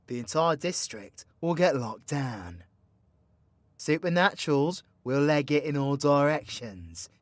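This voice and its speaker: A man's voice: fairly high pitch, a bit bright, no room sound. A British man playing a cocky, foul-mouthed supervillain in an audio roleplay, talking in a slangy, mocking, theatrical way to an ally. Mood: scheming, mocking. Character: cocky, foul-mouthed male supervillain plotting mayhem, teasingly mentoring ally. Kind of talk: villain roleplay audio drama, intimate monologue to listener.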